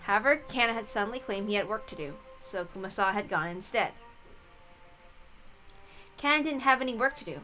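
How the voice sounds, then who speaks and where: Woman, story narrator, bright tone, medium pitch, expressive scripted reading, faint music underneath. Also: fairly quick, long pauses, rough recording. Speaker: reader of visual novels.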